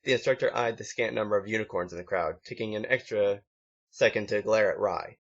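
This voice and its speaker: A man narrating a story as an amateur reader, halting now and then and stumbling over words. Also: on the low side, creaky. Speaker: amateur fan fiction reader. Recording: muffled lo-fi home recording.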